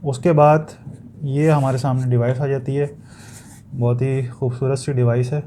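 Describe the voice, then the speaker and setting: A man's voice: mid-range voice, little pitch movement, normal speed, warm tone, slight background noise. A man with a Pakistani accent giving a casual, explanatory product review, feeling for his words and sometimes repeating them, as in an unboxing video.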